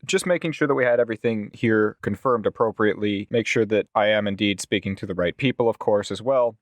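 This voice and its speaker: A man playing a polite, officious legal agent in a tabletop game, speaking formally in measured, wordy sentences. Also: lowish voice, dry recording, close to an everyday voice.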